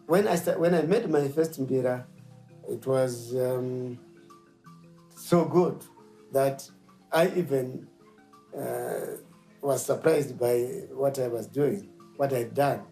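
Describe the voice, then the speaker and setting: A middle-aged man speaks in an unhurried, reflective way, pausing often and filling gaps with soft hesitations. His voice is mellow and warm, and faint mbira music plays quietly behind him. He tells his story as a musician and instrument maker in a documentary interview. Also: medium pitch, rough recording. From Zimbabwe. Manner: slow and reflective, with pauses and fillers.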